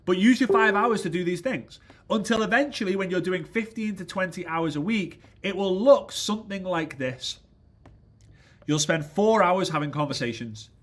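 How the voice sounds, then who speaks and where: A congested man giving an energetic motivational business training talk, often checking in with "right?". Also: high voice, normal speed. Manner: energetic, keeps asking "right?". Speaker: business coach.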